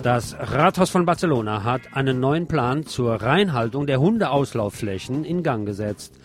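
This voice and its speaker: A man presenting scripted radio news commentary as host, wry and deliberate, with emphatic phrasing. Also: mid-range voice, expressive, faint music behind.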